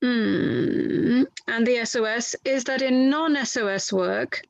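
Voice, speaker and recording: A woman reads a polite lawyer questioning a witness at a formal inquiry. The voice is on the high side and recorded very dry. The delivery is methodical and slightly halting, like reading from a transcript. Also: gravelly, no room sound, muffled recording.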